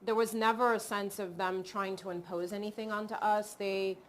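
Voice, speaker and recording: A woman from Cameroon, a film director, talking reflectively in an interview with many pauses and restarts. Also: mid-range voice, fairly even intonation, a little hiss or hum. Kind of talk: video call interview.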